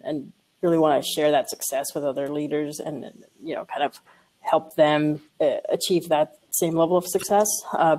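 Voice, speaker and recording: A woman speaking as an engineering executive on a conference panel, with halting, hesitant phrasing. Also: low-pitched, creaky, mellow, breathy edge, poor audio.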